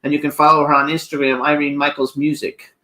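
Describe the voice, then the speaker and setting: A man around sixty with a high, bright voice co-hosts a celebrity interview show. He is chatty and promotional, reeling off credits and plugs with casual fillers. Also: flat delivery, fast. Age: 60s. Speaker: co-host.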